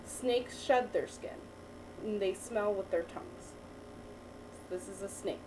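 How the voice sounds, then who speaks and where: A woman teacher reading a picture book aloud to a child, pausing to ask questions and praise the answers. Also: medium pitch. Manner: patient, encouraging, asks and praises. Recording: distant mic with faint background noise.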